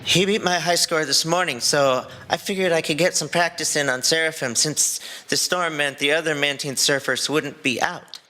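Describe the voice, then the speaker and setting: A man's voice: on the high side, fairly even intonation, moderate pace, hardly any pauses, sharp tone. A man playing a sheepish, reassuring, reckless boy trainer in an acted fan fiction reading, speaking casually.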